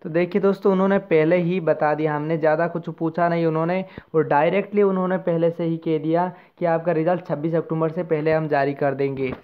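A thin, phone-like recording carries an Indian man hosting an informal update for his viewers. His voice sits a little high and moves very little in pitch as he explains things directly to the audience. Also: fairly even intonation, brisk, few pauses, smooth. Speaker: host of a job-news channel. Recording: muffled phone-like lo-fi sound.

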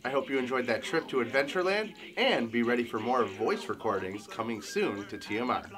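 A man's voice: medium pitch, lively intonation, fairly quick, music in the background. A man in his thirties giving a scripted greeting to viewers as a video host in a small studio. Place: small foam-padded home studio.

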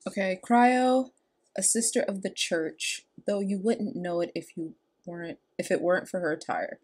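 A woman's voice: mid-range voice, moderate pace, a little mellow. A young adult woman giving casual commentary as a reaction video host. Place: small room indoors. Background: background music.

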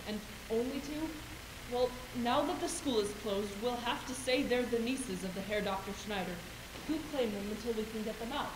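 Young woman playing kindly Mother Superior, highish voice, calm, wry, stage play, echoey noisy hall. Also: moderate pace, close to an everyday voice. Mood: resolute. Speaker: student stage actor. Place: school auditorium stage, far camera. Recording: echoey stage recording with hiss.

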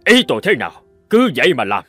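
A man acting as a stubborn middle-aged village patriarch in an animated drama. His fairly high voice swings widely in pitch as he commands and scolds, with music underneath. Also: quick pace, breathy edge, full character voice. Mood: bitter, resigned. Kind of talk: animated cartoon drama dialogue. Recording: music behind the voice.

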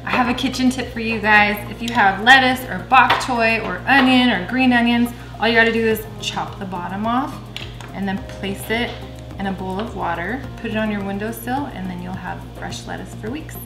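A woman's voice: on the high side, normal speed. A woman in her forties co-hosting a home cooking show, sharing casual, enthusiastic kitchen tips. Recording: echoey kitchen with music underneath. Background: background music.

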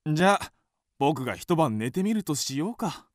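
A man's voice: on the high side, very animated, normal speed, no room sound, clean studio sound. An actor playing an easygoing, flippant husband and father in a radio drama. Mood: joking, unconcerned. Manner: flippant, casual.

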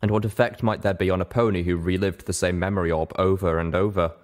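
Low-voiced male story narrator. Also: steady tone, quick pace. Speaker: audiobook narrator. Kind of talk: scripted fan fiction narration.

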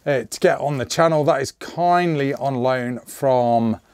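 Middle-aged host, slightly hoarse, chatty and rambling, dry close mic. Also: male voice, mid-range voice, unhurried, darkish tone, no room sound. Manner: chatty, rambling, jokey asides. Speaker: channel host. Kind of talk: casual to-camera channel update. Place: small indoor gun room.

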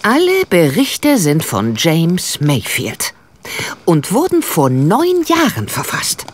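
Expressive, anxious woman sleuth. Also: on the low side, takes it slowly, heavily acted. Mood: curious, tense. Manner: expressive, exclamatory, theatrical. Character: amateur woman sleuth, anxious, easily shocked, curious. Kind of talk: German detective radio play acting.

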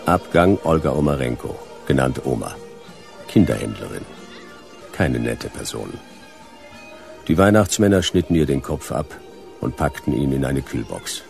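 An actor playing a world-weary hard-boiled detective in a radio drama, laconic and deadpan. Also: male voice, a bass voice, normal speed, creaky, long pauses, faint music behind, full character voice. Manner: laconic, deadpan, clipped sentences. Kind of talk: German radio drama narration and dialogue.

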